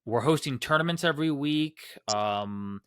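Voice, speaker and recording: A man's voice: mid-range voice, animated, moderate pace, a bit bright, clean tone, dry recording, studio quality. A man hosting a casual group podcast, rambling with many fillers and restarts. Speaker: podcast host. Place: Los Angeles.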